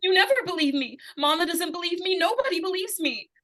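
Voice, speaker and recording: High-voiced, desperate little girl played by a woman. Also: animated, a little fast, a bit bright. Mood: urgent pleading. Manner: urgent, breathless repetition and pleading. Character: desperate, pleading young girl, earnest child narrator. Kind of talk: old-time radio suspense acting. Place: live stage radio-play performance.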